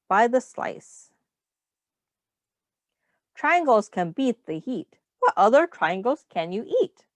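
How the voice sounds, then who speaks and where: Woman reading slowly with lively, swooping pitch. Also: no echo. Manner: simple, explanatory, pausing to ask questions. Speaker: children's teacher reading a picture book aloud. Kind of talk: read-aloud storytime for young children.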